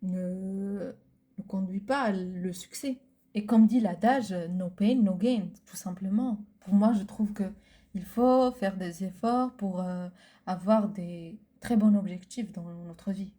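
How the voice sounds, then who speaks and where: A woman whose first language is Arabic, co-hosting a casual podcast and often pausing to search for words. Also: medium pitch, warm tone. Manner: hesitant, searching for words, many fillers. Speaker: podcast co-host. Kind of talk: casual chat.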